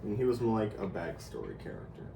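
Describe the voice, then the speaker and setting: A young man as co-host in casual chat, giving brief, low-key answers. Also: huge pitch swings, fast talker, gravelly. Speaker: co-host brother. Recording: echoey room with noise.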